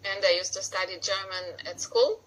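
A woman's voice: medium pitch, moderate pace, a bit bright, low-quality recording. A woman in her forties from Russia with a Russian accent, a podcast guest chatting casually and sometimes searching for words. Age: 40s.

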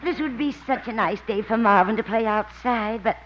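A woman playing a gentle, persuasive elderly mother with a mild, coaxing manner in 1950s radio comedy style. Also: on the high side, normal speed, very noisy, lightly acted. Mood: gently coaxing. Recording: dull old radio recording.

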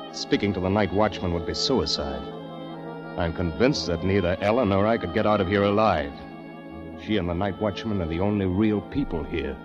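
A man's voice: low voice, natural voice. An actor playing an earnest, uneasy man trapped among strange recluses in a 1940s radio drama, polite, hesitant and brooding. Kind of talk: 1940s radio acting, first-person diary narration. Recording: dull vintage radio recording, music behind.

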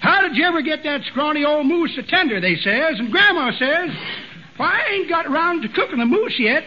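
A man's voice: extremely high pitch, lively intonation, a little fast, slight background noise. A man playing a folksy old male tall-tale teller in a 1940s radio comedy, drawling and chuckling, on a dull old radio recording. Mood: folksy yarning. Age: older.

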